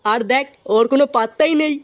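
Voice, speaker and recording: A man's voice: very high-pitched, quick pace, fairly bright tone. A man voicing an impatient, bossy, foul-mouthed village pal in an amateur comedy cartoon.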